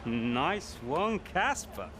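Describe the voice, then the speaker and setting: An actor playing a smug rival racing driver who goads in a sly, casual way in a video game scene. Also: male voice, a little high, very animated, drawn-out words, noisy background, exaggerated voice. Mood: friendly, confiding. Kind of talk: naturalistic video game cutscene acting.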